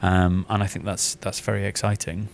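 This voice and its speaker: A man's voice: sits low, level tone, normal speed, creaky. A man talking earnestly and casually as an interview guest, often repeating words as he forms his thoughts. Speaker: guest, theatre maker and writer.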